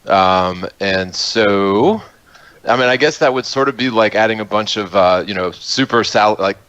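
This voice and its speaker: A man with a fairly low voice chats casually, thinking out loud in a loose, laid-back ramble. The audio is dull and lo-fi, like an online call. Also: unhurried. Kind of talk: casual chat.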